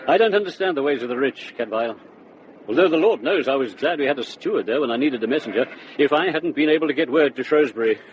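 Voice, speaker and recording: An actor playing a good-natured monk who tends sheep and grumbles in jest, in a radio drama. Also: male voice, medium pitch, animated, fast, natural voice. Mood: easy, informative. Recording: dull, noisy radio drama recording.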